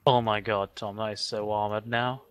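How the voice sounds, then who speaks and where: A man chatting casually over a game, teasing his friend, laughing often and breaking into excited exclamations. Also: lowish voice, moderate pace, clean tone, soft background music. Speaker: co-host of a gaming stream. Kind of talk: casual gaming banter.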